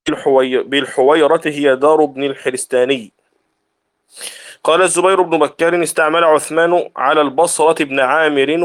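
Man reading classical Arabic text aloud, formally. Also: on the high side. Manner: formal recitation of written text. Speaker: student reading aloud to a religious teacher.

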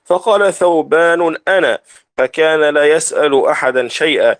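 A man's voice: a little high, normal speed. A man reads a classical text aloud as a student in a religious lesson, in a formal reciting manner.

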